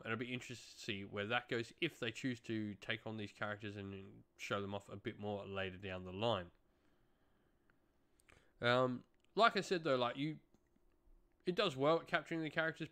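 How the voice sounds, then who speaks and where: A man's voice: fairly low pitch, moderate pace, a bit bright, clear, resonant tone. A young adult man giving a casual, talking-to-camera review as a channel host, recorded indoors in a home room.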